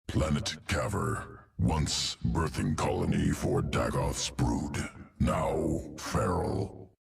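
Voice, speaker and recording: Very deep gravelly alien gene-engineer creature. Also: male voice, takes it slowly, full character voice. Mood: detached, clinical. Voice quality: guttural, gravelly. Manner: clipped telegraphic phrases, no small words. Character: cold, clinical alien creature that engineers genes. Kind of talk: video game character dialogue.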